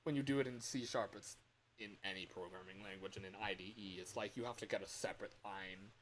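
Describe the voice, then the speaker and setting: Man chatting haltingly over clear background noise. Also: fairly low pitch, fairly bright tone, rough recording. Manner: hesitant, halting, thinking aloud. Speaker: livestream host and indie game developer. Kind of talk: casual livestream chat.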